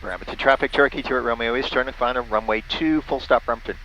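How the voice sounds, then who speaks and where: A man's voice: medium pitch, steady tone, fast, lo-fi sound, noisy background. An American man, a pilot and radio amateur, making radio calls and casually narrating his flight.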